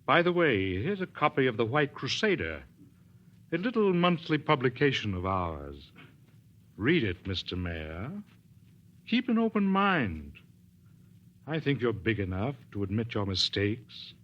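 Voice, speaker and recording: An actor playing a smug, oily colonel in a 1940s radio drama, pompous and patronizing as a recruiter for a crusade. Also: male voice, mid-range voice, sing-song, a little slow. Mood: oily, persuasive. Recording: muffled 1940s radio recording.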